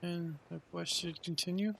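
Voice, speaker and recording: A man's voice: sits high, fairly even intonation, moderate pace, vocal fry, fairly bright tone, slight background noise, low-quality recording. A man giving casual commentary as he plays a video game. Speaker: gamer playing and commenting.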